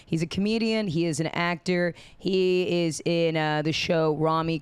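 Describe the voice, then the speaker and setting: A woman's voice: sits low, a little mellow, clean tone, dry recording. Hungover woman hosting a chatty podcast. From United States. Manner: jokey, blunt, swears freely. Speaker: podcast host. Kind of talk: casual chat.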